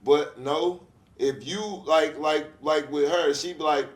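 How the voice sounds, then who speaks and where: Earnest, rambling man in an echoey room. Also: on the high side. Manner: rambling, halting restarts, earnest. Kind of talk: casual podcast conversation.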